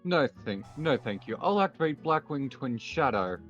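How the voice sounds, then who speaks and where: A man speaking in a measured, understated way as he plays a roleplay card duel and casually announces his moves. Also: medium pitch, expressive, fairly bright tone. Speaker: card game duelist player. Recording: dull lo-fi mic, faint music behind.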